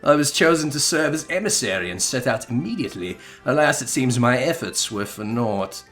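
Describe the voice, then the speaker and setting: A man's voice: medium pitch, animated, fairly quick, fairly bright tone, faint music behind, natural voice. Game zombie emissary, male performer: florid, courteous, melancholy, old-fashioned formality, very varied intonation. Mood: wistful, formal. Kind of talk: melodramatic video game dialogue reading.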